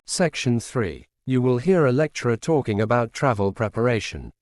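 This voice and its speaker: A man's voice: mid-range voice, very animated, normal speed, a little mellow, no echo. Formal male exam announcer, dry sound. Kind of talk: scripted test instructions.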